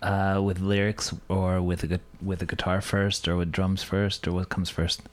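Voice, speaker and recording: A man with a low, deep voice joins the conversation as a co-host. He speaks in a laid-back, casual manner, with a slightly self-deprecating humor. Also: flat delivery, normal speed. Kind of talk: casual chat.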